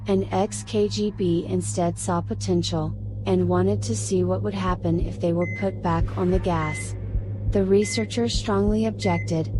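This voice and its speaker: A woman narrates a horror tale in a steady, measured way. Her voice sits at a middling pitch, and clear music plays behind her throughout.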